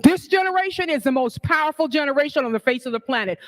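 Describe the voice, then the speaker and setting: A woman's voice: high-pitched, expressive, fast talker. A woman in her sixties, from New York, speaks at a community forum with passion and conviction. She works the room with rhetorical questions and draws the audience in. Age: 60s. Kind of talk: public speech at a community forum.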